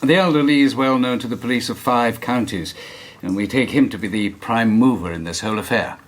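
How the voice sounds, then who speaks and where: An actor playing a brisk, commanding Victorian police inspector in a radio drama, dry in manner. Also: male voice, medium pitch, close to an everyday voice. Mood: measured.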